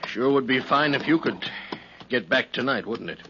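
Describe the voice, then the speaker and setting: An actor playing a gruff, lonely but kind drifter of the Old West, with a folksy rural American accent, in a 1950s radio western. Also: male voice, mid-range voice, lively intonation, natural voice. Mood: curious, folksy. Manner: folksy, halting, insistent. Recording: noisy, muffled old radio recording.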